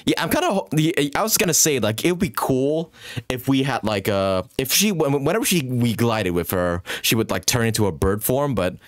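Excitable young man with lively pitch. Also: a little fast, dry recording, clean studio sound. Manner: excitable, slangy, swearing. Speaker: streamer reacting to a game trailer. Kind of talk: casual reaction commentary.

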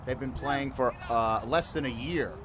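A man's voice: mid-range voice, moderate pace, a crowd in the background. A man commentating a youth rugby match at an outdoor field, explaining the rules and lifting his voice on big plays. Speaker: play-by-play commentator. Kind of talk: live sports commentary.

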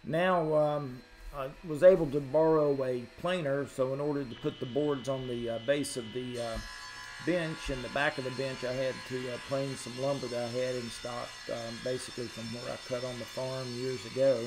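A man's voice: medium pitch, steady tone, normal speed. Middle-aged man, hobby welder, easygoing rambling narration, camera mic off across a noisy workshop. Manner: rambling, many uhs and ums, plain-spoken. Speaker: hobby welder and channel host. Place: large open garage workshop. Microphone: camera mic at a distance, close selfie at start. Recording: workshop noise behind, distant mic.

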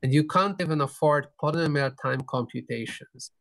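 A middle-aged man giving an explanatory conference lecture over a video call, pausing often mid-sentence. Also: on the high side, creaky, fairly bright tone, no echo. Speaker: keynote speaker, researcher. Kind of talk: academic conference lecture with slides. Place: indoor room, video call.

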